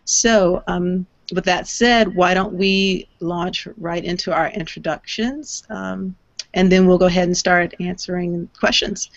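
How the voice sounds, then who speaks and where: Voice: mid-range voice, fairly even intonation, measured pace, dry recording, highs cut off. A moderator opening a live Q&A chat, hesitant, with frequent "uh" and "um". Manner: hesitant, with "uh" and "um" fillers.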